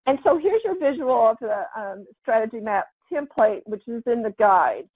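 Halting woman presenting a webinar, dry sound. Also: a little high, big pitch swings, darkish tone, slightly rough, dry recording, low-quality recording. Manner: halting, with frequent "um" fillers. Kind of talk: online webinar presentation over slides.

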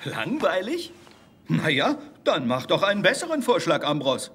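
An actor playing a jovial, conciliatory village savings keeper in a children's audio drama. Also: male voice, on the high side, wildly swooping pitch, normal speed, a bit bright, breathy edge, full character voice.

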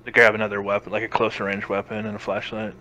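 A man's voice: lowish voice, completely flat delivery, quick pace, bright, forceful tone, slight background noise, low-quality recording. A man chatting casually as a player in a tabletop roleplaying game.

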